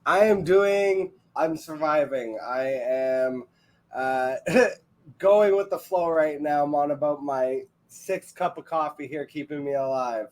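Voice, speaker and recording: A laid-back man from near Montreal talks with an accent that sits between English and French Quebec. He jokes and pokes fun at himself while chatting casually as a podcast guest. His voice sits a little high. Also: takes it slowly, darkish tone. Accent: Canadian French-English mix, Quebec. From Canada. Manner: laid-back, joking, self-deprecating. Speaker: guest analyst.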